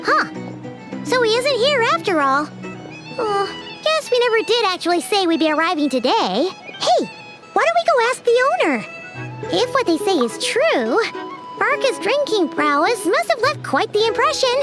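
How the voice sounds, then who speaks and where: A very high, bouncy little fairy girl who chatters eagerly and swoops up and down as she talks. Her game dialogue sits over music. She sounds like a playful animated sidekick. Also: moderate pace. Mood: chatty. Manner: eager, chattering, refers to herself by name. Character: tiny floating fairy girl companion, chatty and curious. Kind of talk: game voice acting.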